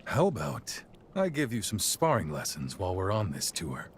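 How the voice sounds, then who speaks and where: Easygoing warrior mentor, middle-aged, lively swinging intonation, encouraging, game cutscene, faint ambient noise behind. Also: male voice, low voice, moderate pace. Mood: playful, confident. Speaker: video game character, combat mentor. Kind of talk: video game cutscene acting.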